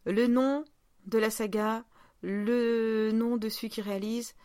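A woman's voice: fairly high pitch, steady tone, slow pace, warm tone, no echo. Informal woman podcaster, dry close sound. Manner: informal, searching for words. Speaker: podcast co-host. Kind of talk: casual chat.

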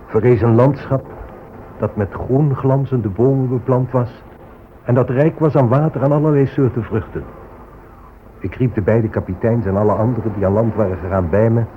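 Actor as a stately explorer admiral, low voice. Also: male voice, close to an everyday voice. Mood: solemn, proud. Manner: stately, declamatory. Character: proud pious explorer admiral reporting to royalty. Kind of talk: radio drama, formal logbook narration. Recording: phone-like old radio recording, faint noise.

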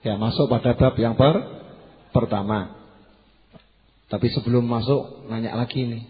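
A slightly hoarse man giving a religious lecture to a congregation, conversational, repeating key phrases and putting questions to his listeners. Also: medium pitch, steady tone, a little slow. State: slightly hoarse after losing voice. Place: large hall at a religious gathering. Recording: muffled PA with long hall reverb.

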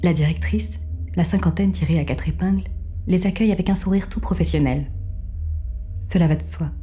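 Low, dark-voiced woman as ironic storyteller. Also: soft background music, lightly acted. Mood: cool, formal. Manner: formal, measured, ironic. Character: detached, ironic female storyteller of a dark dystopian tale. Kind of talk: scripted literary narration.

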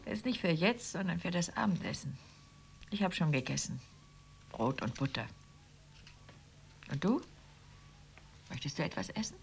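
An actress playing a chatty middle-class Roman wife and would-be writer in radio play dialogue. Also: female voice, on the low side, sing-song, moderate pace, creaky, pauses often, a little hiss or hum. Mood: casual, domestic.

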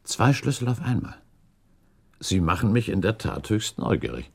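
Radio play count: deep creaky voice, shrewd, suspicious, politely provocative. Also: male voice, very animated, full character voice. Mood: sceptical, intrigued. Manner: pointed, courteous, deliberate. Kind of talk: German radio drama acting, formal literary dialogue.

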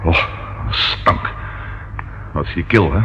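An actor playing a detective's excitable, grumbling companion in a Dutch radio play, expressive and easily startled. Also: male voice, sits low, slow pace, lo-fi sound. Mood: curious, grumbling. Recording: noisy old radio drama recording.

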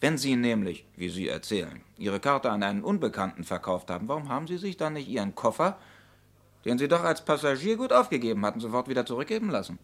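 A sardonic police investigator in an old radio crime drama. He questions sceptically and insistently, with dry irony in every pointed phrase. The voice sits at a medium male pitch. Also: very animated, quick pace, fairly bright tone. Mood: sarcastic, insistent. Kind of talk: mid-century German radio crime drama acting.

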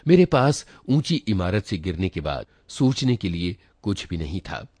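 A man narrating a literary short story in an expressive reading style. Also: low-pitched, measured pace, dry recording. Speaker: story reader.

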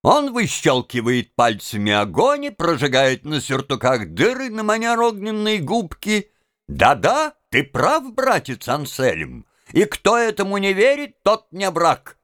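A man voicing a boisterous, hot-headed, tipsy registrar who declaims loudly and emphatically in an audiobook. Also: sits high, wildly swooping pitch, measured pace, dry recording, studio quality, full character voice. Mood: heated, tipsy. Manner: loud, emphatic, toasting and declaiming. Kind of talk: dramatized audiobook reading of a classic tale.

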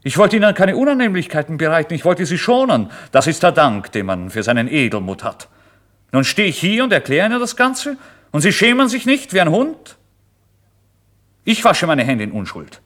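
A man's voice: medium pitch, close to an everyday voice. An actor playing a starving, feverish, proud man who narrates his own torment in a theatrical, intense radio monologue. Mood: indignant, haughty. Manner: theatrical, intense, swinging from hushed to loud outbursts. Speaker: first-person narrator. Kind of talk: dramatic literary monologue for radio.

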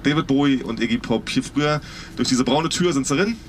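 Tour guide: man, rapid slangy storytelling on a noisy city street. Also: medium pitch. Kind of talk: chatty guided-tour commentary. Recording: outdoor street noise. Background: street traffic.

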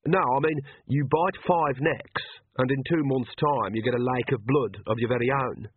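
A man voicing a flustered, sharp-tongued young woman who is hesitant yet blunt in an audiobook. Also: mid-range voice, moderate pace, narrow, tinny audio, slight background noise, lightly acted. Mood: dry, blunt.

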